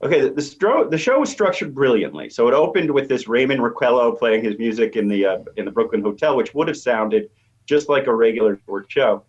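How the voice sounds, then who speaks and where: A man's voice: medium pitch, lively intonation, a little fast. A man speaking as an expert guest, explaining conversationally and thinking aloud as he goes. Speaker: expert guest, media professor. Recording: slightly dull, lo-fi line.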